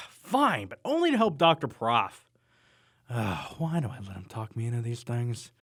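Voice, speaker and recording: A man's voice: medium pitch, very animated, moderate pace, fairly bright tone. A young man playing a reluctant, whiny, exasperated college student sidekick in an audio drama.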